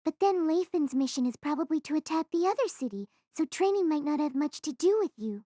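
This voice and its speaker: A woman's voice: high-pitched, animated, brisk, warm tone, no room sound, studio quality, voice pushed up. A woman voicing a chatty, carefree teenage schoolgirl with a teasing, breezy manner.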